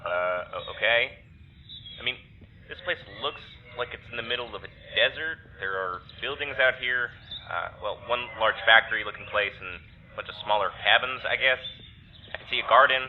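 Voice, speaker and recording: An actor playing a snarky, uneasy young man exploring a strange mindscape in an audio drama, speaking in a halting way. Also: mid-range voice, close to an everyday voice. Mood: unnerved, sardonic. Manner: hesitant, halting, sardonic. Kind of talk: naturalistic audio drama acting. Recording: tinny phone-like filter with background noise.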